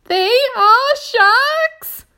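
A woman voicing a gloomy, panicky male earthworm in a shrill, wailing storybook voice. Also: lively intonation, very slow, bright, forceful tone, heavily acted. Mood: alarmed insistence. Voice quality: shrill, piercing. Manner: wailing, fretful.

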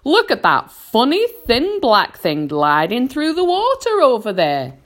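A woman voicing a brash male centipede from a storybook, loud and scoffing. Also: medium pitch, big pitch swings, measured pace, voice pushed up. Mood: excited.

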